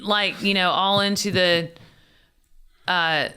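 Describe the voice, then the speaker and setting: A woman hosting a casual chat, loud-voiced and a little tired today. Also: lowish voice, steady tone, slow, smooth. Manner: chatty, demonstrates vocal warm-ups. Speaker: podcast host.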